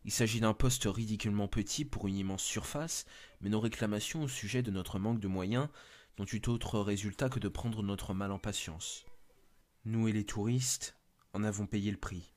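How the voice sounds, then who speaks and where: A man's voice: on the low side, fairly even intonation, normal speed, a little mellow. A man narrating a scripted first-person horror story.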